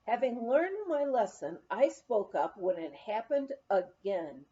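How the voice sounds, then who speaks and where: A woman's voice: medium pitch, very animated, unhurried, mellow. A woman reading a memoir aloud as scripted narration, in a slightly dull recording. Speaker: audiobook reader.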